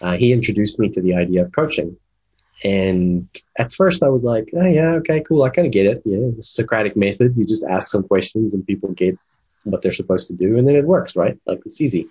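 Deep husky guy, first language afrikaans, rambles through his story with lots of ums, dull lo-fi sound. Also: animated, a little fast, mellow. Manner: rambling storyteller with many fillers. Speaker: guest, game development manager and coach. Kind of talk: casual interview, personal story.

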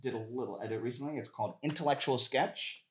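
A man's voice: mid-range voice, fast talker. A man in casual comedy banter on a podcast, as one of the sketch writers.

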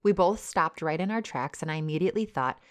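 A woman's voice: low-pitched, expressive, quick pace, clear, resonant tone. A woman with a Wisconsin accent co-hosting a podcast, chatting casually and reading listener stories aloud. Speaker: podcast co-host. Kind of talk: casual chat.

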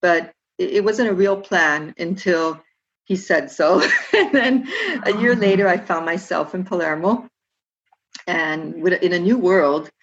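A woman from Southern California, a historian, answering interview questions in a halting way with many restarts. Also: fairly low pitch, highs cut off. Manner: halting, with frequent restarts and filler words. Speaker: guest historian and guide. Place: remote, home in Palermo.

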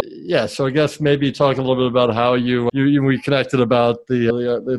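A man hosting a podcast interview, talking in a halting, casual way with frequent fillers and restarts. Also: medium pitch, no room sound, low-quality recording. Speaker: podcast host.

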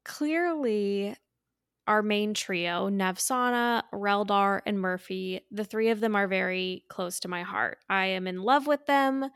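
A woman's voice: mid-range voice, takes it slowly, fairly bright tone, smooth, no echo. Chatty, enthusiastic woman in a dry recording. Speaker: podcast co-host. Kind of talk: casual chat.

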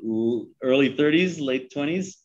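A man's voice: mid-range voice, animated, unhurried, lo-fi sound. A man in his forties, from Sri Lanka, giving advice in a casual interview, talking with many fillers and restarts. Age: 40s. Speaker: guest.

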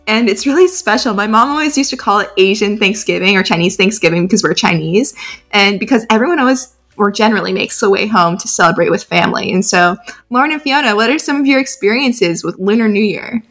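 A woman's voice: mid-range voice, lively intonation, fast talker, a bit bright. A teenage girl from San Diego hosting a podcast, chatty and upbeat, with frequent fillers. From San Diego, USA. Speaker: podcast host. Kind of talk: casual podcast chat.

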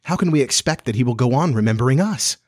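Man voicing an earnest, bossy young girl, raised pitch. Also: expressive, fast, husky, no echo, studio quality. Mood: reproving. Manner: earnest, insistent, reproving.

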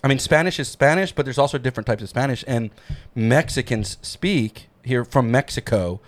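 A man's voice: medium pitch, lively intonation, moderate pace. Eager rambling male podcast host. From New Jersey. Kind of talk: casual podcast chat.

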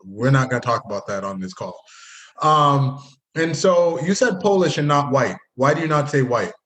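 A man's voice: mid-range voice, expressive, normal speed, fairly bright tone. A man hosting a podcast, chatting casually and teasing his old college friend. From Haitian family, grew up partly in Canada. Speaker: podcast host. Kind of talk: casual interview chat.

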